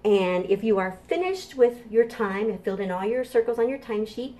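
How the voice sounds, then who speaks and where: A woman's voice: medium pitch, animated, fairly quick, mellow. A middle-aged woman presenting a preschool storytime as a children's librarian, talking simply and encouragingly to children and their parents. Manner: simple, encouraging talk aimed at small children. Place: home kitchen, indoors. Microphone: camera a short distance away.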